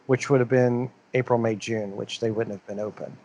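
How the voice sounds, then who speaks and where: A man's voice: on the low side, steady tone, normal speed, dark tone, poor audio. A man co-hosting a podcast, talking in a loose, rambling way. He reads the news out and circles back through uh's and restarts. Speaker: podcast co-host. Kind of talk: casual podcast chat.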